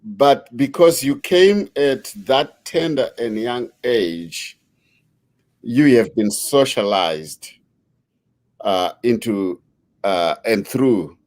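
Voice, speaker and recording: A middle-aged man hosting an interview, a father of three, speaking deliberately with frequent fillers as he frames his questions. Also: lowish voice, animated, darkish tone. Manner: slow, deliberate, with many fillers. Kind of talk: conversational interview.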